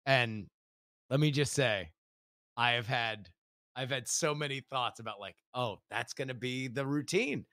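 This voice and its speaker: An American man co-hosting a podcast in casual chat, halting often and circling back over his words. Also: mid-range voice, sharp tone, no echo. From United States. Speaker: podcast co-host.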